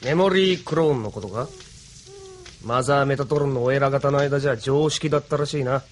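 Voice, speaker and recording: An actor playing a gruff, sardonic but loyal male resistance fighter with coarse speech in an anime audio drama. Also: medium pitch, fairly quick, a little hiss or hum, lightly acted. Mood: matter-of-fact.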